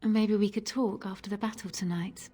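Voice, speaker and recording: An actress playing a gentle, modest young barmaid in a fantasy game, soft-spoken and hesitant. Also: female voice, mid-range voice, steady tone, normal speed, lightly acted. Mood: gentle, worried.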